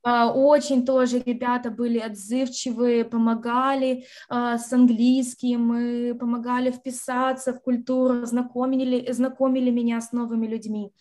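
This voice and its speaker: A young woman from Russia with a high, light voice talks on a video call from a bright room at home. She tells her story in a lively way, acts out what others said, and now and then drops in English words. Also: normal speed, hardly any pauses. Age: young adult. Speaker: interview guest, university graduate. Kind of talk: casual interview over video call.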